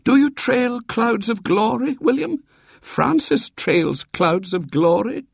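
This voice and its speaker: High, feeble, imperious old great-aunt. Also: male voice, animated, slow pace, gravelly, husky, no room sound, clean studio sound, exaggerated voice. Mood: feeble, critical. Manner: imperious, bluntly appraising. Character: frail, blunt old great-aunt on her deathbed.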